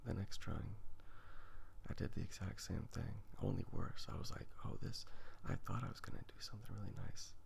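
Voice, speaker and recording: A man speaking in a hushed, half-whispered voice, rambling casually and haltingly in a self-deprecating, musing way as an ASMR host. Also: low voice, creaky. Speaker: host of an ASMR drawing channel. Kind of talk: soft-spoken ASMR, casual rambling chat. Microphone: phone recording.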